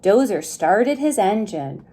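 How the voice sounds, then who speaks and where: A woman reading a picture book aloud to young children in an expressive, gentle way, pausing to ask them questions. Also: medium pitch. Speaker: preschool story time reader.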